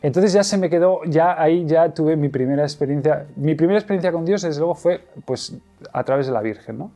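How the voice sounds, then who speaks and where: A middle-aged man speaks in a warm, dark-toned voice. He recounts his personal story haltingly, full of pauses, fillers and false starts. Soft music plays faintly beneath him. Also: a little high. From Madrid, Spain. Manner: hesitant, many fillers and restarts. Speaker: interview guest. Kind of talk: personal testimony interview.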